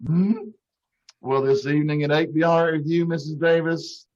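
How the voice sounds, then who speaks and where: A man's voice: fairly high pitch, flat delivery, normal speed, darkish tone, lightly acted. Man acting a slick flirty salesman, muffled sound. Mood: smooth, hurried. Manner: slick, pet-name-dropping sweet talk. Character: smooth, flirtatious, brash door-to-door vacuum salesman. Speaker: amateur radio troupe actor. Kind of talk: old time radio comedy acting. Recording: dull, lo-fi video call audio.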